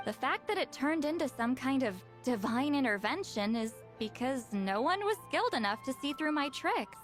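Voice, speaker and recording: A woman's voice: high voice, animated, moderate pace. A woman voicing an aloof, centuries-old sorceress who talks in a reserved, matter-of-fact way. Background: faint game music.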